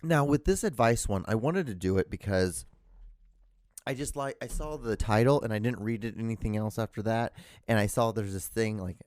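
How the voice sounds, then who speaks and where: A man hosting a solo podcast, rambling loudly with many fillers and restarts into a handheld digital recorder. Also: fairly low pitch, moderate pace, dry recording. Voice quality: loud voice. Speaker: podcast host.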